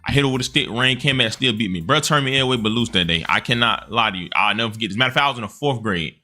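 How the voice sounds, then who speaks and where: A man's voice: medium pitch, rapid-fire, sharp tone. A man giving casual opinion commentary in an earnest, emphatic, slangy way.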